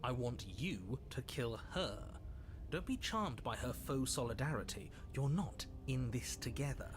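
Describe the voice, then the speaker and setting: A man's voice: mid-range voice, lively intonation, measured pace, background noise, natural voice. A man playing a stern, disembodied narrator in a horror game, articulate and insistent, with dry disdain. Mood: exasperated, urgent. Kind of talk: voiced visual novel narration.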